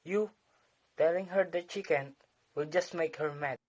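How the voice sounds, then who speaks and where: A man acting a calm, supportive young husband in an amateur stage play. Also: a little high, unhurried. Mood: frank.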